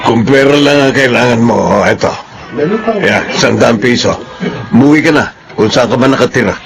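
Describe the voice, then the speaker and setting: A gruff, uncaring father, played by a man in Filipino radio drama. He talks curtly in a mid-range voice, brushing people off, with a crowd clearly audible behind him. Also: medium pitch, moderate pace, lightly acted. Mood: cold, suspicious. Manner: curt, brusque. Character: callous, dismissive estranged father, gruff and impatient.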